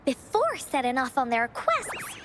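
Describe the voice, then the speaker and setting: A woman's voice: high-pitched, wildly swooping pitch, unhurried, noisy background, heavily acted. A woman playing a cheerful little girl who tells adventure stories theatrically in a video game. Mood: storytelling. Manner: theatrical, cheerful, childlike storytelling. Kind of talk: video game storybook narration.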